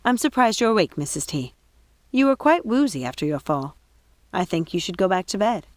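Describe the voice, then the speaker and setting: A woman's voice: mid-range voice, animated, fairly quick, dry recording, lightly acted. A woman voicing a cunning witch who fakes sweetness and concern. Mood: falsely sweet.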